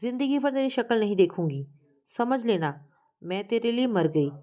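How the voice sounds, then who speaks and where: A woman's voice: on the high side, lively intonation, normal speed, warm tone, lightly acted. A woman voicing a stubborn, domineering middle-aged mother in a family story, scolding and imperious. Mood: furious ultimatum.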